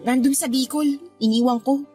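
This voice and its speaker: High-voiced, defiant young daughter. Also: female voice. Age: young adult. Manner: snippy, complaining, stubborn. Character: defiant, fed-up young adult eldest daughter. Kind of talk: radio drama acting.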